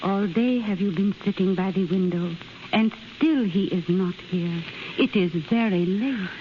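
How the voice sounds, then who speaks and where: An actress playing a devoted, practical Japanese maidservant who talks in simple broken English in a 1930s radio drama. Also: female voice, mid-range voice, unhurried, lightly acted. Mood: concerned. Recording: noisy, muffled 1930s radio recording.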